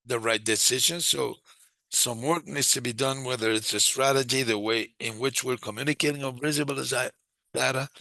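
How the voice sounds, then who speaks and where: A man giving a live interpretation of a webinar discussion, speaking in halting, phrase-by-phrase chunks. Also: medium pitch, sharp tone, no room sound. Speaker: simultaneous interpreter.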